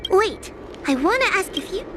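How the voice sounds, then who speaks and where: A woman's voice: extremely high pitch, big pitch swings, moderate pace, background noise. A woman playing a timid, anxious young girl in a video game, speaking hesitantly. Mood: nervous, unsure. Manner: hesitant, nervous, often faltering.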